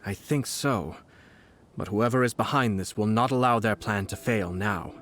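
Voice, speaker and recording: A composed, calculating young nobleman, voiced by a man, low-voiced and measured as he reasons things through. Game music plays clearly behind him. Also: close to an everyday voice. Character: calm, shrewd young nobleman and strategist. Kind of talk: game voice acting. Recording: game audio over loud background music.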